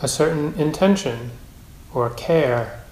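A man teaching a guided meditation in a measured, gentle way, with long pauses between phrases. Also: fairly high pitch. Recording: roomy, echoing space.

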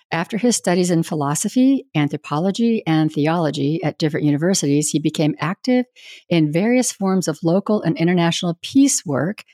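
A woman's voice: low-pitched, darkish tone, dry recording, studio quality. A woman who is a reverend, hosting a spiritual podcast and interviewing a guest in an earnest, conversational way. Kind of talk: scripted intro then casual interview. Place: home in Wisconsin, USA.

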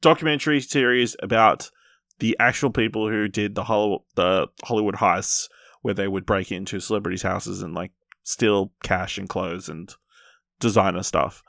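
A man with a low voice and a heavy creak chats as a podcast co-host. His delivery is casual and halting, feeling his way through each thought, with a dry studio-like closeness. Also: fairly even intonation, fairly bright tone, no room sound. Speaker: podcast co-host reviewing films and series. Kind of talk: casual unscripted film chat.

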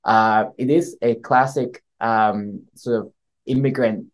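A young man from Thailand, a winemaker guest on a podcast, speaking softly with halting, repeated words. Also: mid-range voice, steady tone. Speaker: winemaker and winery owner.

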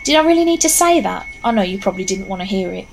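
A woman's voice: medium pitch, sing-song, very fast, creaky, slight background noise. A woman narrating a horror audio drama as a wry storyteller. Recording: faint outdoor ambience behind.

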